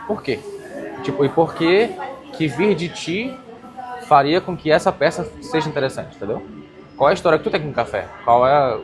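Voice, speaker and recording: A man's voice: a little high, animated, normal speed. A man with a Brazilian accent talking casually as an interviewer, explaining ideas and often checking that he is understood. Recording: music playing behind the voice. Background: music.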